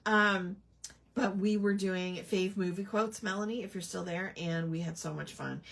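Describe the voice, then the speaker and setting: Husky, gravelly-voiced woman crafting. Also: medium pitch, fairly even intonation, normal speed. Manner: rambling, chatty, talks to viewers while working. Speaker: craft channel host. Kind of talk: casual crafting livestream chat.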